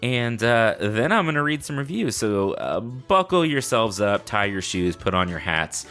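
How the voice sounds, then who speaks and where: A man with a lowish voice and very animated intonation hosts a solo podcast. He talks casually and off the cuff, wandering from point to point with playful, self-deprecating asides. Faint music sits behind his voice. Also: moderate pace, smooth. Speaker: podcast host.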